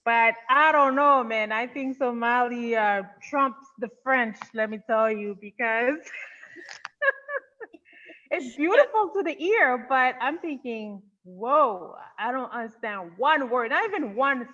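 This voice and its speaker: A woman's voice: a little high, animated, slow, sharp tone, dull audio. A woman hosting an interview in a casual, gushing way, full of fillers like "you know". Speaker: interview host.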